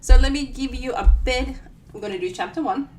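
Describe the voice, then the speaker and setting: A woman's voice: sits high, fairly quick. A woman author hosting a casual live book reading, chatting to viewers in a playful, self-deprecating way. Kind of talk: casual livestream chat to viewers. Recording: distant mic with clear background noise.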